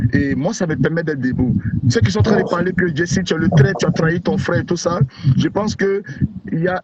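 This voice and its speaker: Outspoken man on a noisy call. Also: fairly high pitch. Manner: emphatic, repetitive, outspoken. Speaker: interview guest, blogger. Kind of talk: casual interview by call. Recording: dull lo-fi call audio with noise.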